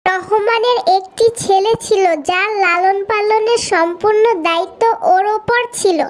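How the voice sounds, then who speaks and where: A woman's voice: extremely high pitch, fairly even intonation, a little slow. A woman narrating a scripted fairy tale for children as the storyteller of a cartoon.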